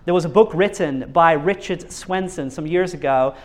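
A man's voice: on the high side, moderate pace. A man from Norway, preaching a sermon earnestly, repeating words as he builds a point and asking the audience questions.

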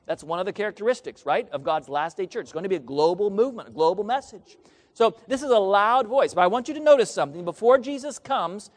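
A man preaching a sermon, reading scripture aloud and asking the congregation questions they answer back. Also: high voice. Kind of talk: sermon with call and response. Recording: long reverb of a large hall.

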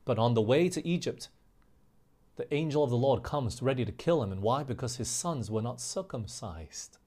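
A man's voice: medium pitch, animated, mellow. Emphatic male preacher giving a sermon. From grew up overseas in England, Australia and the US. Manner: emphatic, slows down on key phrases, asks rhetorical questions.

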